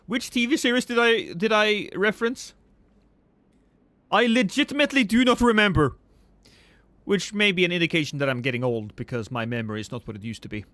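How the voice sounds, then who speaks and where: A man's voice: brisk, dry recording. A man in his thirties chatting casually and profanely with viewers on a live stream, rambling and joking at his own expense. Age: 30s. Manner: rambling, self-mocking, profane; swings between loud excited bursts and low mumbles.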